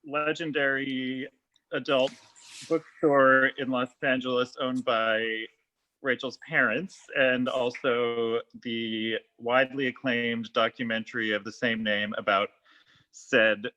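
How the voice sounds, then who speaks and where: A man's voice: medium pitch, little pitch movement, takes it slowly, pushed and bright. A man hosting an online event, giving a semi-formal introduction of speakers over a dull video-call connection.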